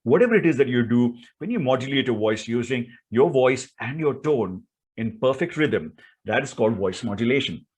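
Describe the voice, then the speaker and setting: A man's voice: mid-range voice, lively intonation, moderate pace, slightly rough. A man with an Indian accent giving an instructional talk as a speaking coach, in an emphatic, theatrical way full of rhetorical questions.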